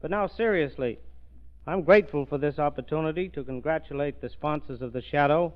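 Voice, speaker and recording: Earnest, smooth-voiced police official, a man. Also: a little high. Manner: earnest, formal, deliberate. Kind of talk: prepared on-air remarks. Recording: muffled 1930s radio broadcast.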